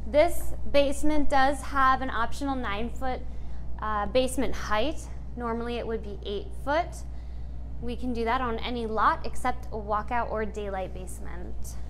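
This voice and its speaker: A woman with a slightly high voice walks a viewer through a show home in a casual, friendly way, pointing out features as she goes. She talks informally and drops in small fillers. A faint hiss of noise sits under the recording. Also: moderate pace, fairly bright tone. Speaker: home builder tour guide. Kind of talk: casual walkthrough home tour. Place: indoors in an empty show home.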